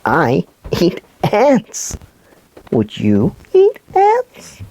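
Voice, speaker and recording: Slow, husky woman presenting for children. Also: on the low side, huge pitch swings, dark tone. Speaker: presenter of a children's puppet show. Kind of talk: scripted storytelling and presenting for children.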